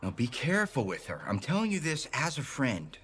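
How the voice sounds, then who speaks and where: A man's voice: medium pitch, wildly swooping pitch, brisk, fairly bright tone. A man playing an earnest, over-familiar gossip who talks confidingly in a video game. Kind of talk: video game dialogue acting.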